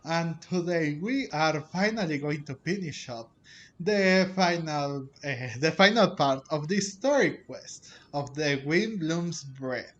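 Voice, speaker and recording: Slow, halting man with a highish voice. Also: expressive, a bit bright, lo-fi sound. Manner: halting, rambling delivery. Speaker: host of a game playthrough channel. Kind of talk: casual gameplay commentary. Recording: game music under the voice. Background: game music.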